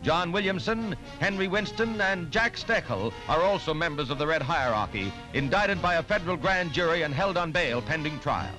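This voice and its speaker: A man's voice: on the high side, expressive, normal speed, hardly any pauses, poor audio. An American man narrating a scripted 1940s newsreel in an urgent, emphatic, declamatory manner. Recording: 1940s newsreel soundtrack with music. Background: orchestral music.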